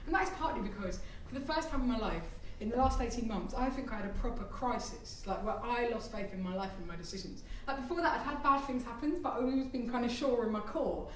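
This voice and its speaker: A woman's voice: a little high, fast, hardly any pauses, a little hiss or hum. A woman in her thirties doing stand-up comedy, chatty and self-deprecating with apologetic asides, recorded live on a theatre stage. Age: 30s. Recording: echoey venue, heard from a distance.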